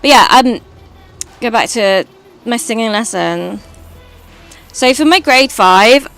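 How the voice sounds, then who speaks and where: A British woman with a high voice, streaming and chatting casually while gaming, rambling and swearing now and then. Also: animated, slow pace, a bit bright, clear, resonant tone, faint music behind. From Britain.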